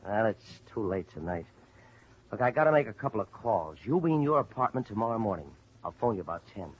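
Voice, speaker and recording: A man's voice: fairly low pitch, sing-song, quick pace, breathy edge. An American man playing a cagey, streetwise go-between in a 1950s radio crime drama, casual and evasive. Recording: dull, noisy vintage radio recording.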